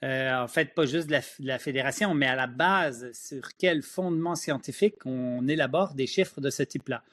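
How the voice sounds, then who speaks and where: A man's voice: mid-range voice, normal speed, hardly any pauses, fairly bright tone. A middle-aged man with a Quebec French accent, explaining emphatically as an expert guest in an interview. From Switzerland, moved to Quebec at ten. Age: middle-aged or older. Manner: emphatic, opinionated.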